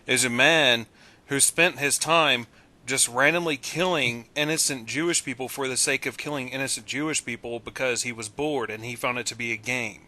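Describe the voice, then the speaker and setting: Male panelist, bright mid-range voice, insistent, casual explainer. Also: mid-range voice, moderate pace. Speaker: commentary panelist. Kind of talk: casual group commentary.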